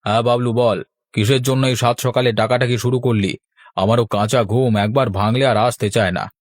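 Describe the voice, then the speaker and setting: A man's voice: mid-range voice, fairly even intonation, moderate pace, dry recording, studio quality, lightly acted. A man voicing a stingy village husband in a cartoon, protesting in colloquial, dramatic speech. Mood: grumpy. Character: stingy village husband, grumbling and evasive about money. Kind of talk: Bengali cartoon dialogue.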